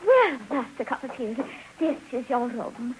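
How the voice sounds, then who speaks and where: A woman's voice: on the high side, sing-song, measured pace. An actress playing a long-suffering, talkative English wife and mother in a radio drama, fussily genteel and rambling. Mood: genteel, plaintive. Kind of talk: 1950s radio drama acting. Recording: faintly noisy old radio recording.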